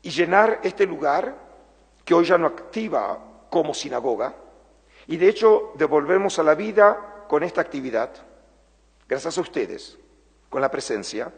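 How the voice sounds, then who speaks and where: A middle-aged Argentine man giving an earnest public lecture, measured and slowing for emphasis. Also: fairly high pitch, very animated, breathy edge. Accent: Argentine Spanish. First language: Spanish. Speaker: lecturer, museum curator. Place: large former synagogue hall. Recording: long hall reverb.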